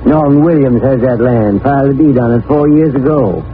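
An actor playing a folksy old stable keeper and deputy with plain-spoken country talk in a 1940s radio drama. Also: male voice, medium pitch, fairly quick, a little hiss or hum, close to an everyday voice. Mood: matter-of-fact. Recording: tinny old radio recording with organ music.

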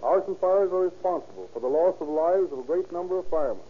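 A man's voice: on the high side, animated, fast talker, slightly rough. A man, a fire captain, giving a stiff, formal scripted address on 1930s radio. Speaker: fire captain, guest speaker. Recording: muffled old radio recording.

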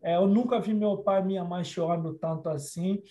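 Congolese man telling his story, high voice. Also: fairly even intonation, fairly quick. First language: not Portuguese. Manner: earnest storytelling with frequent restarts and soft laughs. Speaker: interviewee. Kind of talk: personal life story in an interview.

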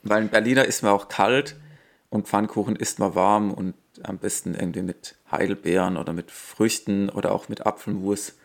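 A man with a light Swabian accent, co-hosting a podcast in a relaxed, chatty way with frequent fillers. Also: fairly low pitch, normal speed, vocal fry. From Swabia, Germany. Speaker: podcast co-host. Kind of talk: casual chat.

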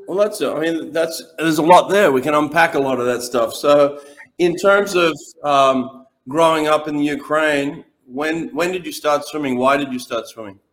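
A man's voice: fairly high pitch, moderate pace, fairly bright tone, rough recording. A man hosting a podcast who asks loose, casual questions that stumble over fillers and restarts. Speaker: podcast host. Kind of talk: casual interview.

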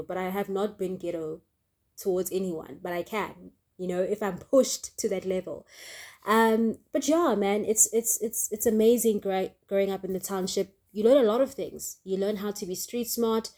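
An upbeat South African woman hosts her own podcast in an easy, chatty way. She sits in a comfortable mid-range pitch and keeps checking in with the listener. Her pauses fill with "um", and she drops in local township slang. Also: dark tone. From Soweto, Johannesburg, South Africa. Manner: chatty, upbeat, often asks "right?" and adds "um". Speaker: podcast host. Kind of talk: casual solo podcast chat.

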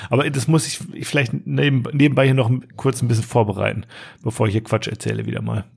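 A man's voice: medium pitch, flat delivery, quick pace, gravelly, darkish tone, breathy edge. A man hosting a podcast in loose, colloquial, unscripted chat with a teasing touch. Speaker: podcast host.

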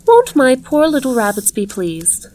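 Woman playing a high, cooing, eerie ghoul hostess. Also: huge pitch swings, unhurried, mellow, slight background noise, heavily acted. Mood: sweetly ceremonious. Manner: ceremonious, sweetly cooing, plaintive. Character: ghoulish, glittering-skinned leprous woman, cooing sinister hostess.

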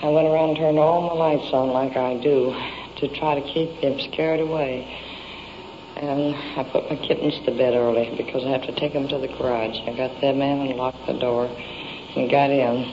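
Female suspect giving an unscripted, colloquial account, on a muffled old recording with clear noise. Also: rumbling low, steady tone, moderate pace. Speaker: murder suspect giving police statement. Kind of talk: unscripted, plain step-by-step account. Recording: dull, noisy old field recording.

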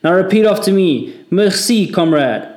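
A man's voice: sits high, lively intonation, moderate pace, voice pushed up. A man voicing a harsh, contemptuous army corporal who barks his orders with scorn, recorded in an echoey room. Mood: barking scorn. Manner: barking, scornful shouting.

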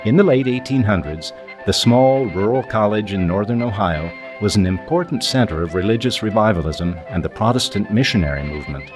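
A man's voice: on the low side, animated, fairly quick. A man reading scripted documentary narration. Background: music.

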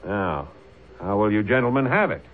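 A man's voice: fairly low pitch, very animated, normal speed. An actor playing a principled, commanding colonial lawman who speaks urgently and articulately in an old-time radio drama. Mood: firm, commanding. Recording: tinny, noisy old radio broadcast.

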